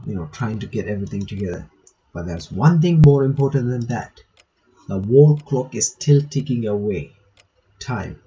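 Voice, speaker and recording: A man's voice: mid-range voice, mellow, dull audio. A man reading visual novel text aloud with casual commentary, breaking off to remark and reread lines. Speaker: gaming reaction video maker.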